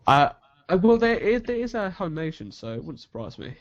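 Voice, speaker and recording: A male host with a fairly high, very lively voice, steering a loose group chat. The sound is muffled, low-fidelity audio from a computer mic on an online call. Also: fairly quick, no room sound. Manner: animated, lively pitch swings. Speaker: podcast host. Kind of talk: casual chat.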